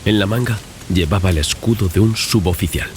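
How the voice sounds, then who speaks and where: Male narrator for gothic horror audiobook: very low register, dramatic scripted read, clear sound-design ambience behind. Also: a little fast. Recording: clear background ambience and noise.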